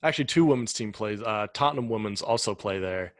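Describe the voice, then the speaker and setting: A man's voice: on the low side, fast talker, no room sound, clean studio sound. A young man from the United States, hosting a podcast and chatting with his guest in a friendly, enthusiastic way. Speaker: podcast host. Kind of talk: casual interview chat.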